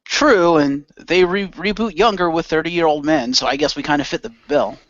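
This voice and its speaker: A fairly high-voiced middle-aged man played by a male amateur actor in a radio play. He is friendly and skeptical, talking casually on a dry, slightly dull recording. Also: moderate pace, a bit bright, no room sound, natural voice. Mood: friendly, bemused. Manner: casual, questioning.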